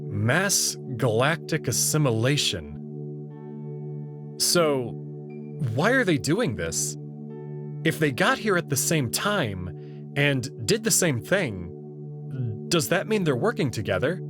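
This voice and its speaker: A man reads a first-person sci-fi story in a slow, drawn-out way, wry and curious in tone. His voice rises and falls with a lively range. Music plays clearly behind him the whole time. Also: on the high side. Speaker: narrator reading a visual novel aloud.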